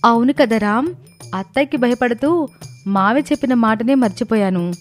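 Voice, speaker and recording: Woman acting plucky high-pitched young daughter-in-law. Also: moderate pace, faint music behind, natural voice. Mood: earnest, arguing. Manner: earnest, argumentative, explaining. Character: young daughter-in-law, dutiful, thrifty, plucky but respectful. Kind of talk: dramatized moral story dialogue.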